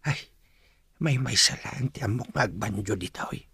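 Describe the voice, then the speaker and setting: Old father, puzzled, very husky, swooping intonation, radio drama. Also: fairly low pitch, animated, normal speed, sharp tone, dry recording, studio quality, exaggerated voice.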